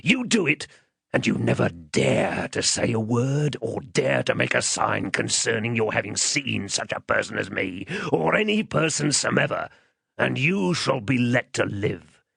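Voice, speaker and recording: A man voicing a menacing, rough convict in a storybook, with threatening, coarse rustic speech. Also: mid-range voice, animated, a little slow, fairly bright tone, no echo, full character voice.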